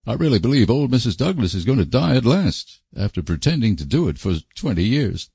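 A man's voice: medium pitch, big pitch swings, a little fast, creaky, mellow, slightly rough, dry recording, clean studio sound, pitched above the performer's own voice, full character voice. A man voicing a solemn, plain-spoken country man in a storybook, with a dour, folksy manner. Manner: folksy, dour rustic talk. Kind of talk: audiobook character voice.

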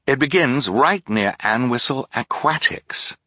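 A man's voice: mid-range voice, animated, unhurried, pushed and bright, dry recording, studio quality, pitched above the performer's own voice. A man voicing an earnest, bookish teenage boy who explains his findings.

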